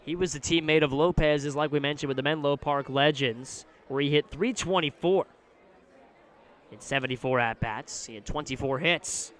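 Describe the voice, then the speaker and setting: An American man calling baseball play-by-play for radio, describing each moment in detail in a live outdoor broadcast. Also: on the high side. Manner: measured description, excited on big plays. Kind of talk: live sports commentary. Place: outdoor ballpark broadcast position.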